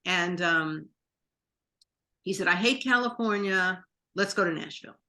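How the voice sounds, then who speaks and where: Lower-voiced woman from new york, rambling chatty stories, acts out what people said. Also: a little slow, pushed and bright. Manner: chatty, rambling anecdotes with quoted dialogue. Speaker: guest. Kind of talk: interview storytelling.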